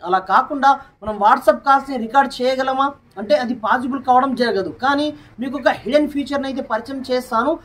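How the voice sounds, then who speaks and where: Male tech tutorial host, very high pitch, rapid delivery, instructional, speaking to viewers. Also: a bit bright, poor audio. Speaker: YouTube tech channel host. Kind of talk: tech tutorial to camera and screen demo.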